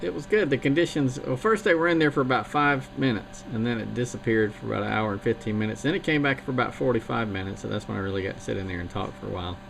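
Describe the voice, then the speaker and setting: A man's voice: mid-range voice, fast talker, gravelly, hardly any pauses, fairly bright tone. A man chatting casually and rambling a bit as he talks on a CB radio. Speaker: CB radio operator. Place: home radio station. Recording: noisy radio transmission.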